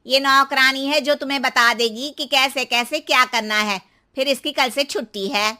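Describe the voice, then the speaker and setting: A woman's voice: high-pitched, steady tone, moderate pace, pushed and bright. A woman voicing a greedy, domineering middle-aged mother-in-law in a moral story, bossy and taunting. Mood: commanding. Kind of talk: acted moral-story dialogue.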